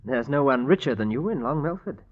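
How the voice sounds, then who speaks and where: An actor playing a fawning, scheming nineteen-year-old son with obsequious, formal period speech in a 1950s radio drama. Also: male voice, mid-range voice, lively intonation, a little fast, highs cut off, close to an everyday voice.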